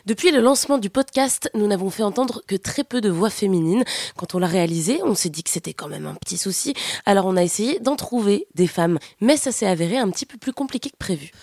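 A woman co-hosting a podcast, reading scripted narration in a brisk, playful way. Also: medium pitch, animated, warm tone, dry recording, clean studio sound. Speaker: podcast co-host.

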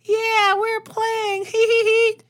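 A man puts on a cheerful, happy-go-lucky kid from a film. The pitch leaps high, and the manner is perky to the point of mockery. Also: level tone, takes it slowly, a bit bright, full character voice. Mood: gleeful. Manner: mocking, exaggeratedly perky.

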